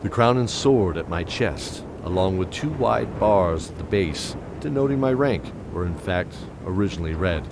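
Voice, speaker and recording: An actor playing a weary, guilt-ridden knight captain, narrating and speaking in a scripted fantasy audio drama. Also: male voice, low voice, expressive, normal speed, hardly any pauses, noisy background. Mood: solemn, reflective. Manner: solemn, descriptive, dramatic delivery.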